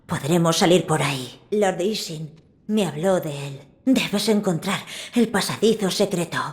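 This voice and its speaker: Child lord for a game, played by a woman: Castilian Spanish, lowish pitch, calm, formal authority. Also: measured pace, lightly acted. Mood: calm, authoritative. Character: composed, noble young boy lord. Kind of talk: dramatic video game dub. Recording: music under the voice.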